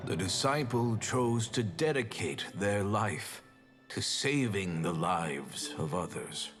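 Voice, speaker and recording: An actor playing a solemn dying doctor and master in a game story, speaking in grave, measured monologue. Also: male voice, on the low side, animated. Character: solemn dying doctor, a male master passing on his contract. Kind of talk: dramatic scripted narration and monologue. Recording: loud music under the voice. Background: loud music.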